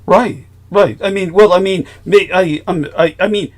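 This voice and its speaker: A man's voice: high voice, normal speed. A man hosting a podcast conversation, formal and effusive, often restarting his sentences. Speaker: host.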